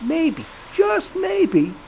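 Casting: sly American gangster kingpin, high creaky voice, theatrical and streetwise, for period audio drama. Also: male voice, huge pitch swings, extremely slow, warm tone, a little hiss or hum, exaggerated voice. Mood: sly, amused. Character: gleeful sweet-toothed gangster crime boss, sly and menacing.

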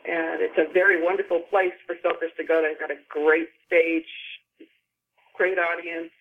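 A woman's voice: on the low side, little pitch movement, normal speed. A middle-aged woman speaking as a podcast guest, recounting things conversationally over a phone line. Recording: narrow, tinny phone line.

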